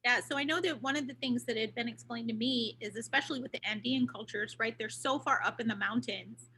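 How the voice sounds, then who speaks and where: A woman moderating an online cooking class, asking guiding questions and explaining in a relaxed way over a video call. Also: on the high side, a little fast, a little hiss or hum. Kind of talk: casual online class chat.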